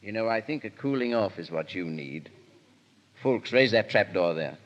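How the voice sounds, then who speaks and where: An actor with an English accent playing a suave, teasing gentleman hero in 1930s radio drama. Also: lowish voice, animated, moderate pace, muffled recording, natural voice. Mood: playful, triumphant. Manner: suave, drawling foppish wit. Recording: 1930s radio recording, echoey hall.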